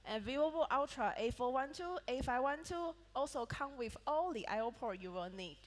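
A woman's voice: high-pitched, animated, moderate pace. Malaysian woman presenting on a reverberant stage. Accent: Malaysian English. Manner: reads prepared product points with small slips and restarts. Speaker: product manager presenting a laptop launch. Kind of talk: product keynote presentation. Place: large event hall on a stage. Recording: reverberant event hall sound.

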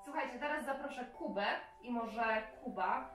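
Higher-pitched woman presenting to children, roomy sound. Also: normal speed. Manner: addresses young children directly with simple questions. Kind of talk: hands-on craft and game instruction for children. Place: child's bedroom indoors. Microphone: camera a few metres away. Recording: roomy, distant camera sound with music.

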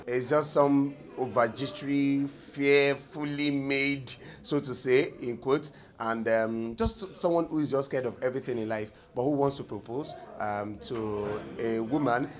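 Man, thirties, Nigerian accent, medium pitch, halting interview explanation, searching for words. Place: indoor room.

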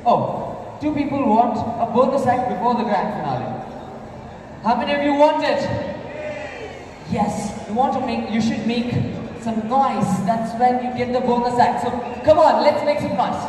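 Male stage performer, jokey crowd-work patter, higher voice, distant echoey mall-hall recording, busy background. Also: moderate pace, background noise. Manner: showman hyping a crowd, jokey. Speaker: juggling performer. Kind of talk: live stage show patter.

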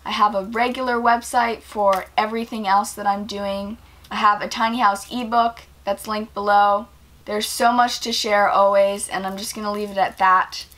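A woman of twenty answering viewer questions in a casual vlog, relaxed and rambling with many ums and likes, filmed in a small indoor room. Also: medium pitch. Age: 20s. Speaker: vlogger.

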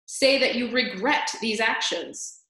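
A woman doing a pompous booming head mouse. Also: pushed and bright. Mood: commanding. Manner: booming, commanding, slow formal pronouncements. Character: pompous stern male head mouse, elder judge. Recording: echoey room.

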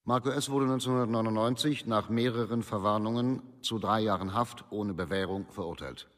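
An actor playing an impersonal official who reads a criminal record formally in a documentary radio play. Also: male voice, fairly low pitch, fairly quick, fairly bright tone, close to an everyday voice. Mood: dry, official.

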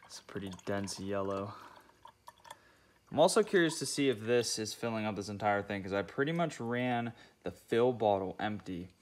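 Low-voiced young man narrating garage work. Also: normal speed. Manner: relaxed, thinking aloud, talking through each step. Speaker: car DIY channel host. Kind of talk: casual how-to narration while working. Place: home garage, concrete floor.

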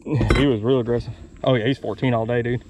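Man, mid-pitched voice, casual fishing vlog chat, informal and animated, faint outdoor noise, action camera. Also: fast talker. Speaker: fishing vlogger. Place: outdoors on a small boat on a river. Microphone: body-worn action camera.

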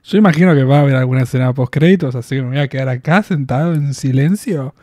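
A man in his twenties with an Argentine Spanish accent, talking through a casual spoken review in a small room on a boom mic, chatty and quick to laugh, with drawn-out fillers. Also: darkish tone. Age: 20s. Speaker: reaction video host. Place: small room in front of packed collectible shelves. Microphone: studio mic on boom arm, headphones on.

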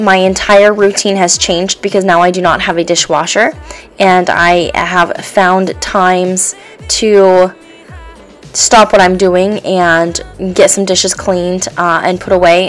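Chatty mom vlogger over soft music. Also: female voice, fairly low pitch. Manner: chatty, warm and encouraging, with frequent ums. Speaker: stay-at-home mom vlogger. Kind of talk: casual voice-over chat.

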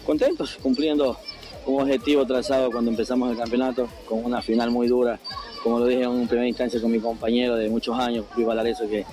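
A man's voice: medium pitch, level tone, fast. A man, giving a post-match interview outdoors as a player. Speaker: radio broadcaster, winning player. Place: outdoor football pitch. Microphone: handheld phone held near chest.

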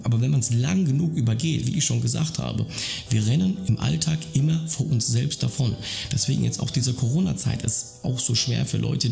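A man's voice: medium pitch, gravelly, mellow. A man in his thirties talking earnestly to camera from a dim room at home, rambling with restarts and fillers as he explains things. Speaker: YouTuber talking about mental health. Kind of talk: casual explanatory monologue to camera.

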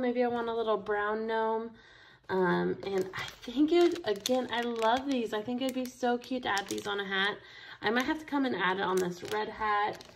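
Chatty woman with a gravelly edge. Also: on the high side, a little hiss or hum. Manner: chatty and enthusiastic, talks while working with her hands. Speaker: craft store instructor. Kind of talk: casual craft tutorial. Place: indoor craft table. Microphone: overhead camera, only her hands seen.

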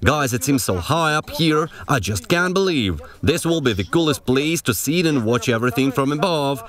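A man's voice: mid-range voice, animated, moderate pace, music in the background. A young man chatting enthusiastically in a casual outdoor vlog in a forest. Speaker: vlogger building a treehouse.

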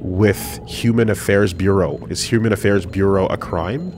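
A man's voice: deep voice, fairly even intonation, normal speed. A man casually commentating a game, reading screen text aloud and joking. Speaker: let's play commentator. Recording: noisy stream mix with game audio.

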